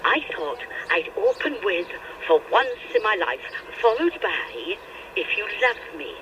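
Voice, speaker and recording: An actress playing a grand, gushing singer in her late sixties, theatrical and excitable, in a radio play. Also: medium pitch, measured pace, a little hiss or hum, poor audio. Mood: excited, elated. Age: older. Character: excitable, grand older female singer planning a comeback.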